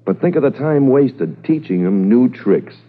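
An actor playing a weary, conscientious senior police commissioner in a 1950s radio drama, measured and formal. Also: male voice, mid-range voice, lively intonation. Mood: gloomy, earnest. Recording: muffled 1950s radio recording.

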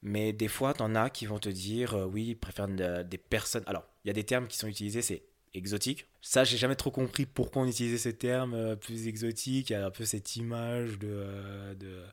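A man's voice: fairly low pitch, steady tone, brisk, few pauses. A young man from France answering interview questions candidly, hesitant, with many fillers and restarts.

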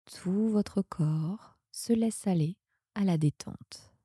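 A woman guiding a sleep meditation in a soothing, hushed, breathy manner that often nears a whisper. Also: mid-range voice, slow, dry recording, clean studio sound. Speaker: yoga nidra guide and podcast host.

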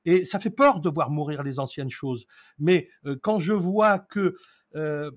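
A man's voice: a little high, lively intonation, measured pace. A man in his sixties, an interview guest from France who talks about housing in a reflective way, stalling often and then pressing his points hard. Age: 60s. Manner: hesitant, many restarts, then emphatic bursts.

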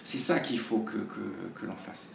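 A hoarse man, a podcast guest, talking in a halting way with many restarts. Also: lowish voice, echoey room, a little hiss or hum. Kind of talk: casual conversation.

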